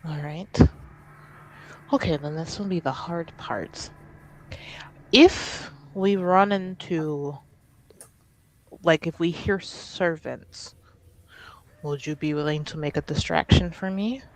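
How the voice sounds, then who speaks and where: A tabletop roleplaying player improvising casually in character, halting at times and easily given to giggles. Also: medium pitch, poor audio. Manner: giggly, breaks into laughter.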